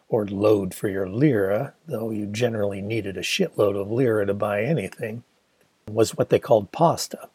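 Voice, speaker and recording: A man's voice: lowish voice, mellow, husky, no echo. A man in his sixties from Michigan, reading his own memoir aloud in a wry, conversational storytelling manner. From Michigan, USA. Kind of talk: audiobook narration.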